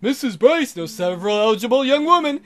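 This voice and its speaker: A man's voice: very high voice, very animated, quick pace, fairly bright tone, heavily acted. A man voicing a jovial married naval friend in a hearty, pushy way.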